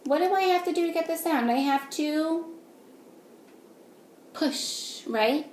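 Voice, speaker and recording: A woman teacher reading a picture book aloud to young children, patiently, in a sing-song way, pausing to ask them simple questions. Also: sits high, darkish tone. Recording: echoey room with faint noise.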